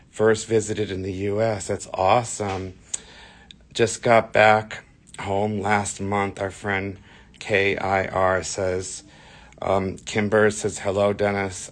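Chatty man with a husky, fading voice. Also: deep voice, fairly bright tone. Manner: chatty, reads viewer comments aloud. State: losing his voice. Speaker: live-streaming vlogger. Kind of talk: casual live-stream tour chat. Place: indoor church building hallway. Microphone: phone held at arm's length.

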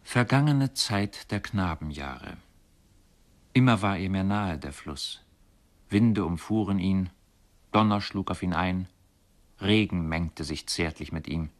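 Radio drama narrator: deep male voice, contemplative, measured literary recitation. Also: lively intonation. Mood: reminiscent. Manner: measured, lyrical, solemn. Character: contemplative male narrator recalling river boyhood.

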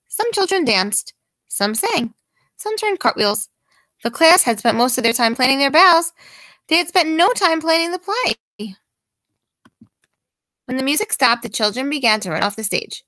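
A woman reading a children's picture book aloud in an expressive way with deliberate emphasis. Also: on the high side, no echo.

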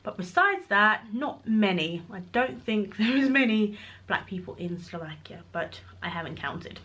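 A woman's voice: medium pitch, very animated, unhurried, fairly bright tone. A woman, answering viewer questions in a casual vlog, frank and chatty, filmed close up in a small room at home. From Congo. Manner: chatty and frank, talking straight to camera. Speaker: vlogger. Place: bedroom nursery, indoors. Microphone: camera at close range.

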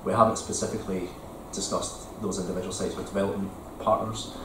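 A man answering councillors' questions at a meeting in a measured, explanatory way with frequent ums. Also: sits low, flat delivery, background noise. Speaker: council regeneration officer. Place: large council committee room. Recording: echoey committee room from far off.

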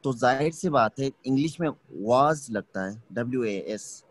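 A man teaching an online language class, explaining slowly and checking that students follow. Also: mid-range voice, expressive. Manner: patient, explains slowly, checks understanding often. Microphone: video call.

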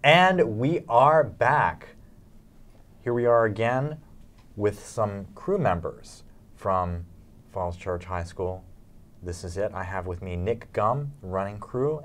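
Low-voiced man hosting an interview. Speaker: interview host. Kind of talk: conversational on-camera interviewing.

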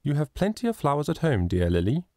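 A man doing a gentle refined mother. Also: fairly high pitch, huge pitch swings, fast, dry recording, clean studio sound, pitched above the performer's own voice. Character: kindly gentle mother, a lady.

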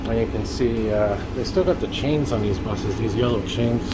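A man giving relaxed, informative running commentary while riding a city bus, recorded on a handheld camera. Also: on the low side, moderate pace. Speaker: transit vlogger. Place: inside a moving city bus. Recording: loud bus engine and air conditioning noise. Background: bus engine, air conditioning and traffic.

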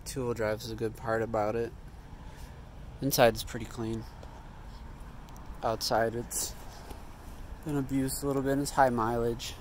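Man, casual offhand talk, correcting himself mid-sentence, outdoor phone audio, clear background noise. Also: medium pitch, flat delivery, unhurried, pauses often. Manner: offhand, thinking aloud, self-correcting. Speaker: vlogger. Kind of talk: casual walkaround commentary. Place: outdoor parking lot.

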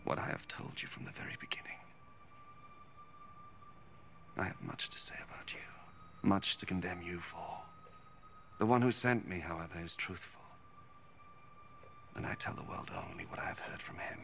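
An actor in his thirties playing a calm, authoritative holy teacher in a biblical film, speaking deliberately and earnestly. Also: deep voice, background noise. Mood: grave, intense. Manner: deliberate, declarative.

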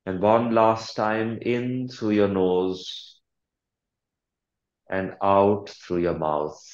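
A man leading a guided meditation as a coach, speaking calmly and deliberately with long pauses and soothing instructions. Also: fairly low pitch, roomy sound, muffled recording.

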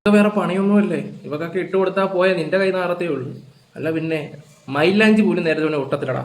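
A man rants in a lecturing, indignant way. He speaks extremely fast in a slightly high voice. The room adds clear echo. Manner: indignant, lecturing with rhetorical questions. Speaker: reaction video commentator. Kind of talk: informal ranting commentary. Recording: echoey room.

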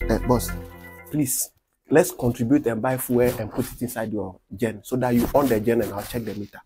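A man playing a young electricity meter inspector in a comedy skit, exclamatory and quick to swing between deference and scorn. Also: mid-range voice, fairly even intonation, music in the background, lightly acted. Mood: meek, apologetic. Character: meek young meter inspector who turns scornful and indignant.

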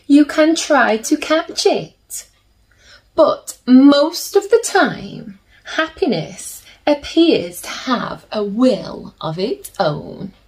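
A woman in her thirties reading a picture book aloud to children in a gentle, deliberate manner, with chatty asides. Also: sits high, sing-song, rough recording. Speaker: children's story reader. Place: small plain room indoors.

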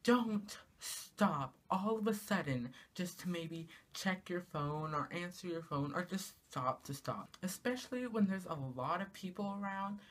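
A teenage girl vlogging from her small bedroom, talking casually to the camera and ranting in an exasperated way, her pitch jumping up on rhetorical questions. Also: expressive, measured pace, few pauses. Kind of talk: casual comedic rant to camera. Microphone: camera in front of her, at arm's length.

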